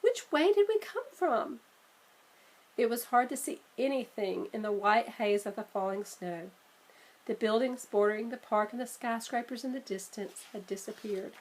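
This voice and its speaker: A woman reading a children's storybook aloud in an expressive, measured way. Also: medium pitch, poor audio. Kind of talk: storybook read-aloud narration.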